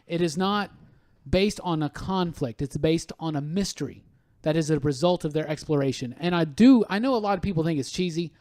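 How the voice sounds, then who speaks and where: A man's voice: on the high side, brisk, a little mellow. A man hosting a podcast, chatting casually and emphatically about films with real enthusiasm. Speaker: podcast host.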